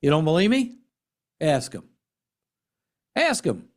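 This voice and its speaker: A middle-aged American man speaks in a somewhat high voice with wide swings in intonation. He gives impassioned commentary, earnest and emphatic, leaning on repeated phrases and deliberate dramatic pauses. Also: huge pitch swings. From United States. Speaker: political commentary host and military veteran.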